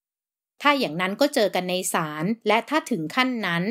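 A woman reading the part of a cold, controlled male doctor in an audiobook, speaking flatly and firmly. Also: mid-range voice, moderate pace.

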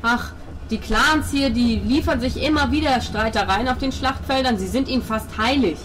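A woman playing a high-pitched pompous priest. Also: moderate pace, a bit bright, lightly acted. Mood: patient explaining. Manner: hesitant, wordy explaining with pious flourishes. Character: devout, pompous male priest, talkative and eager to preach. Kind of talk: in-character tabletop roleplay.

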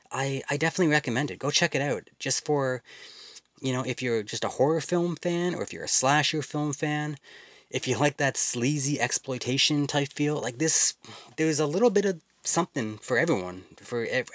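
A man's voice: mid-range voice, expressive, normal speed, dry recording, clean studio sound. A man giving a casual spoken film review, rambling with many fillers and restarts.

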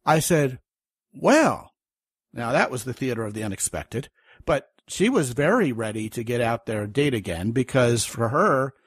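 A man's voice: medium pitch, animated, normal speed, breathy edge, dry recording, clean studio sound. A man hosting a podcast, telling stories and joking in a casual interview chat. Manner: chatty, storytelling, joking. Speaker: podcast host.

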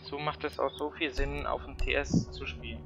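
A man's voice: mid-range voice, steady tone, normal speed, vocal fry, muffled recording, loud music behind the voice. A man chatting casually while gaming, giving short callouts and grumbling at his teammates. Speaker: gamer recording gameplay with friends.

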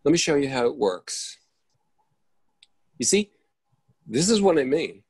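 A man acting a husky, flustered, fussy man. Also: fairly high pitch, big pitch swings, a little slow, no room sound, clean studio sound, full character voice. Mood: insistent. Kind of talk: script read-through of a one-act play. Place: indoors at home on a video call.

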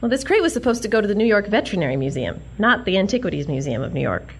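An actress playing a dreamy, earnest young museum clerk in a comedic audio drama, sheepish and prim. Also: female voice, mid-range voice, big pitch swings, rapid-fire, slightly rough. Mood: prim, restrained. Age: young adult.

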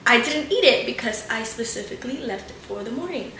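A woman's voice: on the high side, lively intonation, a little fast, pushed and bright, roomy sound, slight background noise. A young woman in her twenties chatting casually to her camera at home, venting and swearing a lot. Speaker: lifestyle vlogger. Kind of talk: casual storytime vlog. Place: bright living room and kitchen at home.

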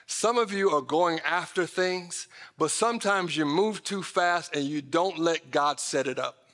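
A man's voice: on the high side, unhurried, fairly bright tone. A middle-aged American man preaching a sermon in an emphatic, conversational way, speaking directly to his congregation in a reverberant church auditorium. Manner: emphatic, conversational, addresses listeners directly with folksy asides. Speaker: pastor. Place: large church auditorium stage, indoors. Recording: reverberant hall sound from stage.

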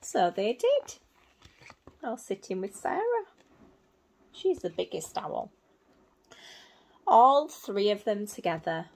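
A woman in her thirties reading a picture book to small children in simple, expressive phrases, adding little explanations as a teacher would. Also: mid-range voice, slow, vocal fry, pauses often. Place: small indoor room at home.

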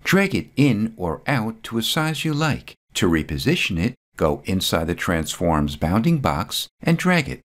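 A man reading a scripted step-by-step software tutorial voice-over, calm and methodical. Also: on the low side, measured pace, hardly any pauses.

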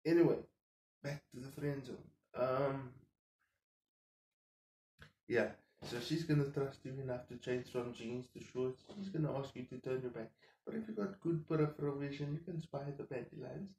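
A man in his thirties whose first language is Afrikaans, talking quietly to camera in his home kitchen and thinking out loud with lots of fillers. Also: medium pitch, normal speed, reverberant, slight background noise, poor audio. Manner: subdued, keeps voice down so as not to wake the household. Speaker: vlogger. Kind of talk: casual monologue to camera.

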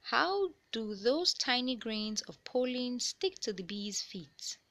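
A woman reading a children's science picture book aloud in an expressive, deliberate storyteller style for young listeners. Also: fairly high pitch. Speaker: read-aloud narrator of children's books.

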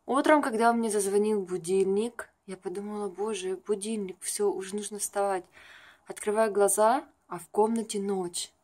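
A woman's voice: medium pitch, fairly even intonation, normal speed, a little mellow. Young woman chatting casually, rambling a little. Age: young adult. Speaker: vlogger, makeup artist. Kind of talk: casual vlog chat to camera. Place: small furnished room at home.

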